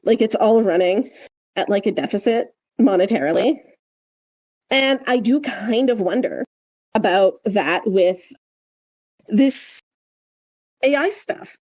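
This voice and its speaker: A woman's voice: medium pitch, animated, takes it slowly, pauses often, darkish tone, muffled recording. A woman chatting casually and emphatically as a podcast co-host, wry and discursive, with plenty of "like" along the way. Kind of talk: casual opinionated podcast chat.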